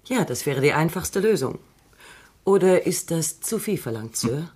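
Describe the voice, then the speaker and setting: A low husky robot psychologist, dry and precise, radio play. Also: female voice, big pitch swings, measured pace, a little mellow, heavily acted. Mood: cool. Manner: dry, precise and coolly logical. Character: cool, sharp-witted female robot psychologist. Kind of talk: German radio play acting.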